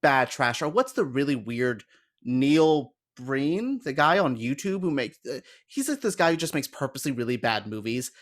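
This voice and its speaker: A man's voice: medium pitch, very animated, normal speed. Casting: man in his thirties, mid-range voice, rambling and opinionated podcast panelist with a casual, self-interrupting delivery. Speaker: podcast panelist discussing bad films.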